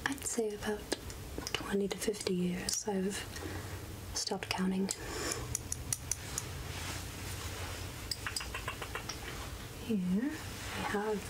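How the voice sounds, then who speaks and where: A woman playing a soothing, wry outcast witch healer in a soft, whispered ASMR roleplay, speaking gently with musing pauses. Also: medium pitch, fairly even intonation, heavy background noise, close to an everyday voice.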